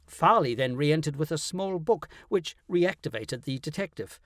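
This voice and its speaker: Man, audiobook narrator, fairly high voice, lively dramatic delivery, scripted storytelling, very dry sound. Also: moderate pace, no room sound.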